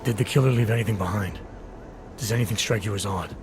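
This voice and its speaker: An actor playing a terse, skeptical detective in a video game, probing in a clipped, dry, challenging manner. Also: male voice, lowish voice, fast, noisy background, lightly acted. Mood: businesslike. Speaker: Interpol investigator. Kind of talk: video game cutscene dialogue. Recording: background music under dialogue.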